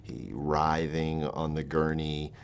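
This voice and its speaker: A man giving an eyewitness account, hesitant and halting between phrases. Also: rumbling low, fairly even intonation, vocal fry, slight background noise.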